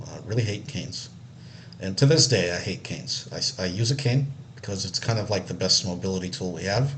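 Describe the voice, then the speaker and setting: A man's voice: low-pitched, fairly quick, a bit bright, a little hiss or hum. A man from Israel telling his life story casually, rambling a little with many fillers and restarts. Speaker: accessibility analyst.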